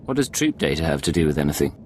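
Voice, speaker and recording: A man's voice: extremely low pitch, sing-song, racing, a little mellow, a little hiss or hum. A man playing a cool, skeptical secret agent in a video game, dry and businesslike.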